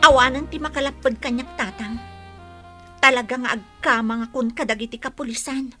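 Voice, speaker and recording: An actress with an Ilocano accent playing an aggrieved, determined village daughter in a radio drama. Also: female voice, on the high side, steady tone, fairly quick, bright, forceful tone, faint music behind.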